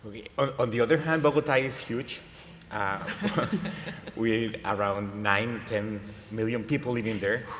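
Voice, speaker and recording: A man's voice: on the low side, a bit bright, slight background noise. Colombian man on a panel. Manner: earnest, repeats words while searching. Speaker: panelist, innovation lab director. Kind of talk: conference panel talk. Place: large conference stage. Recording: long hall reverb.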